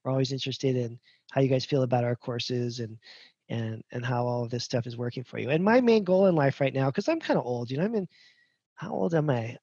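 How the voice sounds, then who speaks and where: A man's voice: mid-range voice, animated, brisk, mellow, no room sound, lo-fi sound. A man in his fifties giving a casual, rambling webinar talk as a functional medicine instructor, a little self-deprecating, over a video call. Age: 50s.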